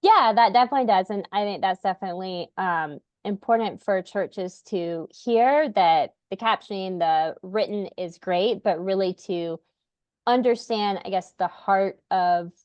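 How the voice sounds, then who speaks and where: A woman's voice: medium pitch, a little slow, dry recording, highs cut off. A woman hosting a podcast, talking in an earnest, rambling way with many fillers. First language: English. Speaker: podcast host, parent. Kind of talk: casual podcast conversation.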